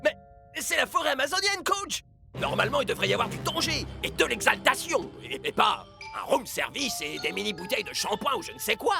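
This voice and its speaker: A man's voice: extremely high pitch, sing-song, a little fast, vocal fry, heavily acted. A man playing an impulsive, dramatic young footballer hungry for adventure in a French cartoon dub. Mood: indignant, excited. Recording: loud music behind the voice.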